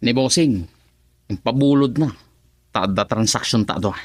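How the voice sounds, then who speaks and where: A man's voice: medium pitch, normal speed, no echo, clean studio sound, natural voice. An actor playing a sly, cheerful friend involved in drug deals, laughing and teasing as he talks, in a radio drama.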